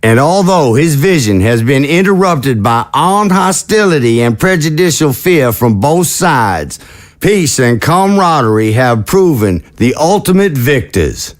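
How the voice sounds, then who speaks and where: A man acts out a veteran president at the podium in an audio drama. He is deliberate and oratorical, with a slightly high voice and lively intonation. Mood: proud, ceremonial. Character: stately veteran president addressing a crowd. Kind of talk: political speech in an audio drama.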